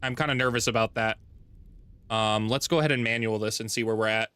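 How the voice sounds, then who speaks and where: A man with a deep voice streaming a strategy game from home, chatting casually, thinking aloud and correcting himself as he plays. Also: fairly even intonation, brisk, sharp tone, clear, resonant tone, dry recording. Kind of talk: casual gameplay livestream chat. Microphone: desk mic with noise gate.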